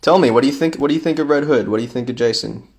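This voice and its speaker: A man's voice: medium pitch, racing, darkish tone. A young man chatting casually on a phone livestream at home, reading fan comments aloud with playful asides.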